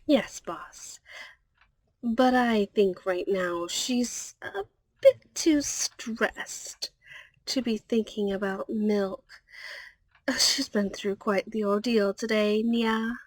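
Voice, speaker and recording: A woman's voice: mid-range voice, slow pace, no echo, low-quality recording. A woman playing a friendly, polite catgirl secretary in an ASMR roleplay, chatty, ending sentences with a little meowing tic. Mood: polite, exasperated.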